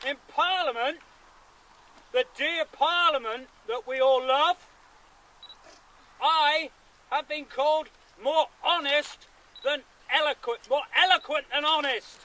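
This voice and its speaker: A man playing a defiant seventeenth-century country lawyer, declaiming deliberately to rally his armed neighbours outdoors in a historical reenactment. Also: very high voice, sharp tone, exaggerated voice, rough recording. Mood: earnest, grave. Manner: declamatory, deliberate, with emphatic pauses. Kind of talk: reenacted historical public speech. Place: outdoors at a rural gathering. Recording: outdoor recording with background noise.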